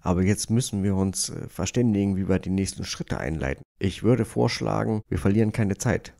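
A man playing a pragmatic cartoon musician leading a quest, matter-of-fact and deliberate. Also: sits low, gravelly, dark tone.

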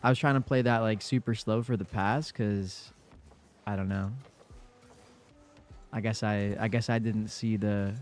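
A young man with a lowish, slightly stuffy voice talks through his own plays in a relaxed, self-coaching way. Quiet game music sits faintly behind him. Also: unhurried, long pauses. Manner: laid-back, self-coaching play-by-play. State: congested from a cold. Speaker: gaming YouTuber. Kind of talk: casual gameplay commentary.

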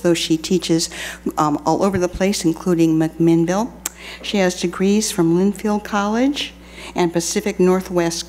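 A woman's voice: fairly low pitch, darkish tone, a little hiss or hum. An older woman hosting a lecture series, introducing the guest speaker at a podium microphone in a rambling way with many fillers. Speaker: program host introducing a speaker. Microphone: podium microphone on a stand.

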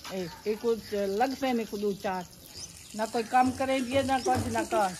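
High-voiced Punjabi woman scolding in a courtyard. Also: unhurried, background noise. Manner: scolding, complaining. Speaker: mother of the household. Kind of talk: casual family chat. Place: outdoor village courtyard.

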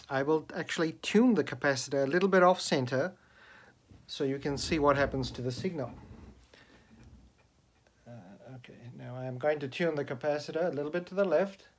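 A man giving a casual, deliberate hands-on explanation as a radio hobbyist, recorded indoors on a handheld camera. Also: on the high side. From Australia. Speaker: hobbyist radio enthusiast demonstrating a homemade antenna. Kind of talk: casual video demonstration. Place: indoors in a bedroom. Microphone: handheld camera, held by the speaker.

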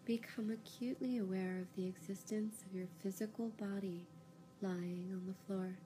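Mellow-voiced woman guiding a calm meditation. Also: medium pitch, slight background noise, rough recording. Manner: calm, unhurried, soothing, with pauses. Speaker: yoga nidra meditation guide. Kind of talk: guided relaxation meditation, slow instructions.

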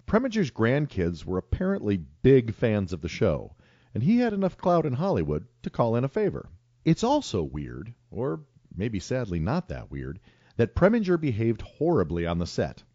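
A man's voice: mid-range voice, dark tone, no room sound, lo-fi sound. A man hosting a podcast, reading a prepared film segment with wry commentary. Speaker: podcast host.

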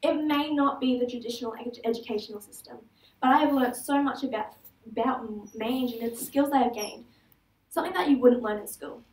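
A woman's voice: a little high, brisk, a little mellow. Australian teenage girl, student speaker, earnest with small slips; roomy hall sound. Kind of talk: prepared stage talk. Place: large auditorium stage. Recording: echoey hall, heard from far off.

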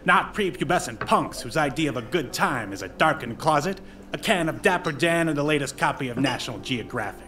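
An actor playing a sarcastic, gruff bartender with slangy 1950s wisecracking patter in an audio drama. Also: male voice, fairly high pitch, animated, fairly quick, bright, forceful tone. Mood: scornful. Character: sarcastic, gruff old-fashioned bartender at newsmen's bar. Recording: faint music underneath.

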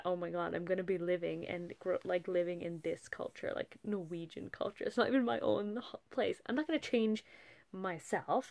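A woman's voice: mid-range voice, vocal fry, darkish tone. A woman in her thirties talking candidly to a webcam from a small wood-paneled room at night, with frequent restarts. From English-speaking country. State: emotional after a move. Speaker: vlogger. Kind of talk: casual chat to camera.

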